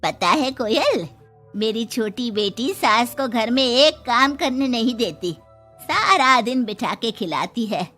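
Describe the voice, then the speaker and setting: A woman's voice: fairly high pitch, expressive, slow, sharp tone, soft background music, exaggerated voice. A woman voicing a mild, caring older mother-in-law in a family moral story, coaxing and motherly.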